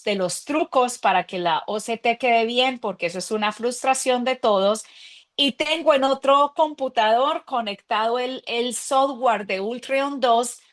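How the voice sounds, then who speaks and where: A woman, an interventional cardiologist, giving a chatty, enthusiastic talk to colleagues over a video call. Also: fairly high pitch. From Colombia.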